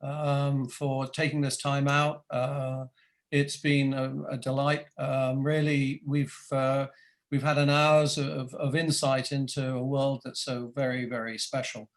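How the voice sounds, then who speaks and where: A man's voice: medium pitch, flat delivery, unhurried. Hesitant man hosting an interview. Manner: hesitant, lots of restarts. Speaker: interview host. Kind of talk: online interview. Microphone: video call.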